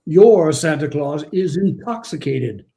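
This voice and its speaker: An actor playing a dignified, easily indignant old gentleman with a formal, scolding manner in a radio play. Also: on the high side, lively intonation, measured pace, creaky. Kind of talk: 1940s-style radio play acting.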